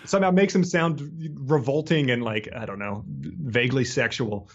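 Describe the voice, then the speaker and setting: A man chats casually as a podcast co-host, his voice sitting at a middle pitch. He rambles in a halting, amused way. The recording is slightly dull and lo-fi. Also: vocal fry. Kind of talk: casual humorous book discussion. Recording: dull lo-fi audio.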